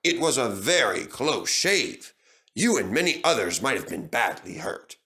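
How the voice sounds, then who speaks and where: A man as a dignified, clear-cut gentleman boss. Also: mid-range voice, sing-song, full character voice. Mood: grave. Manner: measured, formal, impressive. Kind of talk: storybook fandub character voice.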